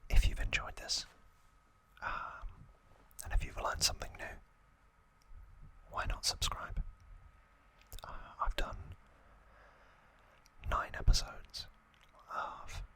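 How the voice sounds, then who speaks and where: A man whispers an ASMR recap in a breathy, unvoiced voice. The delivery is very slow and gentle, with deliberate pauses between short phrases. The whisper has a crisp, bright edge. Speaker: ASMR channel host.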